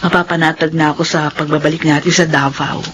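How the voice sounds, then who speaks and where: An actress playing a soft-spoken, hesitant grown woman in a Filipino radio drama. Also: low voice, flat delivery, fast, slight background noise. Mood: reassured, serious.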